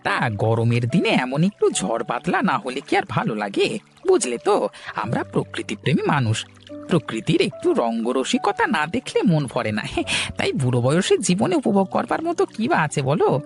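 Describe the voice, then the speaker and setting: Creaky, theatrical old village gentleman. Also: fairly high pitch, wildly swooping pitch, soft background music, exaggerated voice. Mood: jovial, chatty. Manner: theatrical, drawn-out, peppered with hesitant interjections. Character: chatty, pious old Bengali village gentleman, excitable dreamer. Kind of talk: cartoon voice acting.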